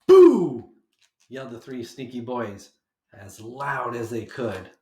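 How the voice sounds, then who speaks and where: A man reading a children's picture book aloud with animated, emphatic delivery for young listeners. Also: mid-range voice, unhurried. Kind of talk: expressive children's read-aloud narration. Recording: roomy homemade recording.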